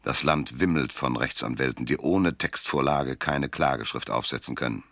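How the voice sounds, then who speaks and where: A man's voice: very deep voice, moderate pace, a bit bright, no room sound, full character voice. A man playing a weary, cynical private detective in a radio drama, narrating and talking in a laconic, dry, world-weary way. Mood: weary, disgusted. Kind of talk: first-person noir narration and dialogue in radio drama. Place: dry studio.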